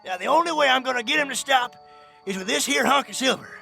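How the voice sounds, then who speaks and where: A man playing a frantic old prospector with a rural Southern American accent and a gravelly, cracking voice in an audio drama. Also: extremely high pitch, animated, fast, sharp tone, soft background music, exaggerated voice. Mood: urgent. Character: frantic old male prospector guarding a secret. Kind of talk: scripted audio drama acting.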